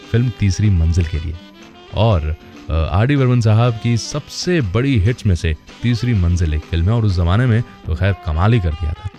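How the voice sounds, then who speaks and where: A man's voice: fairly low pitch, dark tone. A man hosting a radio show in a conversational storytelling style, introducing old film songs and acting out quoted dialogue.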